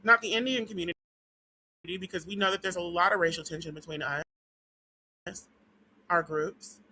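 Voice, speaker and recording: Woman vlogger, hoarse, losing her voice; very bright, tense tone; rambling, profane delivery. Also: fairly low pitch, fairly even intonation, moderate pace, poor audio. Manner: rambling and profane, with frequent "um" and "what do you call it". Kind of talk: casual rant to camera.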